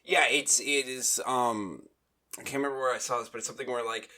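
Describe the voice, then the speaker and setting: Mid-pitched man in halting casual chat. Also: fairly even intonation, bright, forceful tone. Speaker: podcast co-host. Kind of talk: casual book discussion.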